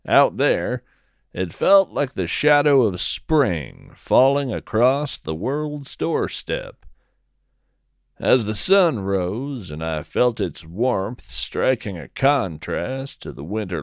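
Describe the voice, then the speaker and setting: A man hosting a scripted fiction podcast, narrating as a musing field naturalist in a measured, folksy storytelling manner. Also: on the low side, no room sound, studio quality. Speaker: podcast host.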